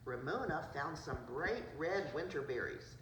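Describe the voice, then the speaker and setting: A woman's voice: low voice, expressive, unhurried, fairly bright tone. A woman preschool teacher reading a picture book aloud to children, adding simple asides about the pictures. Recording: roomy, distant mic, noisy background.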